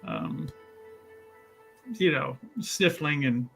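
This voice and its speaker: Bright, slow-speaking man player. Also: on the high side, creaky, soft background music. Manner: deliberate, repeats words as he thinks. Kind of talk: tabletop roleplay, narrating his character.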